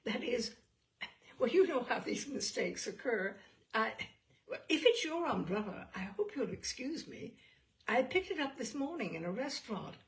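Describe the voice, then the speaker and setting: A woman's voice: a little high, sing-song, normal speed. Woman playing a flustered, stammering, apologetic man. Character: flustered, apologetic man caught with a stranger's umbrella.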